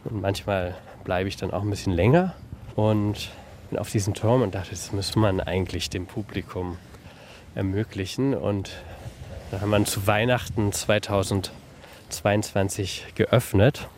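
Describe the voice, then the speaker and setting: Man, lower-pitched voice, conversational interview, park director, faint background noise on location. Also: measured pace, a little mellow. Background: faint ambient noise.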